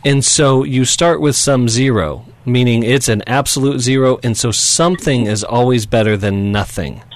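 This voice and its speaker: A man's voice: mid-range voice, moderate pace. A man hosting a call-in advice radio show, talking directly and challenging callers.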